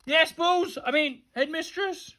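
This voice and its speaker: Woman acting a manic, high-strung, bellowing male schoolteacher. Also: animated, moderate pace, vocal fry, husky. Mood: flustered. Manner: bellowing, repetitive, spluttering. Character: manic, thundering male schoolteacher obsessed with banning balls.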